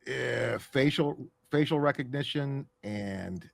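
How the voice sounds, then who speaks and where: A man's voice: mid-range voice, takes it slowly, vocal fry, no room sound. Male co-host, probing questions, dry close sound. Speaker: podcast co-host. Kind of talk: podcast discussion.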